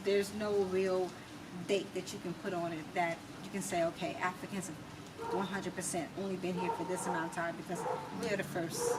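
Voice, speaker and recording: A woman's voice: fairly low pitch, level tone. American woman, emphatic, distant noisy recording. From United States. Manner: emphatic, rhetorical, speaking in deliberate repeated phrases. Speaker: interview guest. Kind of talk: opinionated interview talk. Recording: distant mic with clear background noise.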